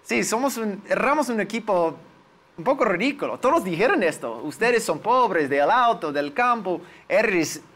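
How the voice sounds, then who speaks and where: A man in his forties with an American accent, a native English speaker, recalling his experiences in an interview and pausing to search for words. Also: high voice, lively intonation, fairly bright tone. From United States. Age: 40s. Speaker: lawyer, interview guest. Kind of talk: interview, personal recollection.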